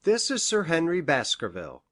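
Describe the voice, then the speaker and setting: A woman's voice: low voice, huge pitch swings, moderate pace, no echo, studio quality, voice pushed down, heavily acted. A woman voicing a courteous, earnest male doctor in an audiobook, polite in manner. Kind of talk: audiobook character reading.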